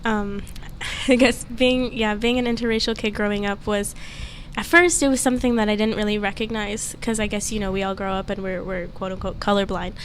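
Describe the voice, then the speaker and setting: A woman's voice: mid-range voice, fairly even intonation, a little fast, few pauses. A young woman on a student panel, talking openly and laughing often as she speaks. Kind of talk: casual panel discussion. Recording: faint background noise.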